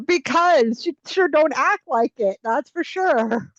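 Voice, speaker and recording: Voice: high voice, expressive, slow, fairly bright tone, no room sound, dull audio. A podcast co-host with a Canadian accent, joking and laughing easily in casual chat.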